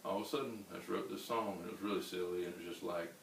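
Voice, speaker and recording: A man with a baritone voice, a singer-songwriter answering interview questions in an unhurried, rambling way. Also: steady tone, creaky, a bit bright. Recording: roomy, distant mic with faint hiss.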